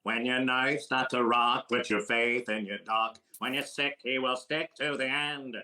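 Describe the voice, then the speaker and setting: Guy doing a fast-talking sharp-toned quack doc, old radio comedy, deadpan jokes. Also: mid-range voice. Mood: singing. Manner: rapid wisecracking patter, punchlines delivered deadpan. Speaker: actor playing a comedian in a radio recreation. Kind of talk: old-time radio comedy with sung patter.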